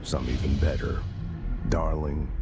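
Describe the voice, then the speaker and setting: An actor playing a world-weary, authoritative older director, giving a grave, measured, guarded monologue in a video game. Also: male voice, rumbling low, steady tone, over loud music.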